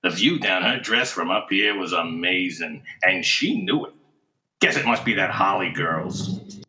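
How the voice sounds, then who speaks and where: Brash, forceful stagehand in echo. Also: male voice, low-pitched, expressive, unhurried, exaggerated voice. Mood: gruff, offhand. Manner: slangy, blunt working-class talk. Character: gruff, brash male theater rigger, blue-collar. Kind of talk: radio play character acting. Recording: echoey, reverberant space.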